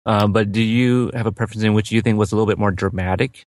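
A man's voice: lowish voice, steady tone, fast talker, dry recording, clean studio sound. A man whose second language is English, co-hosting a casual film discussion and hesitating with many fillers. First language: not English. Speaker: film podcast co-host.

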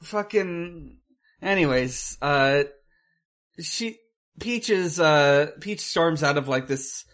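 Low-voiced, unhurried woman podcaster. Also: lively intonation. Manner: halting, profane. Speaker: podcast co-host. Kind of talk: casual movie discussion.

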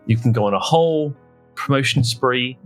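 A man's voice: medium pitch, normal speed, faint music behind. A man hosting a podcast in a casual comedic chat, rambling and joking at his own expense. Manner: jokey, rambling, self-mocking. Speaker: podcast host.

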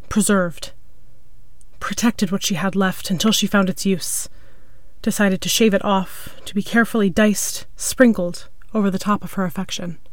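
A woman's voice: mid-range voice, no room sound. A woman reading a piece of scripted literary fiction as its narrator. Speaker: story narrator.

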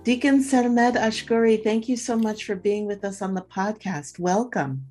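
A woman hosting a podcast, reading a scripted introduction and asking interview questions in a clear, deliberate way. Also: mid-range voice, mellow, faint music behind. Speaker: podcast host.

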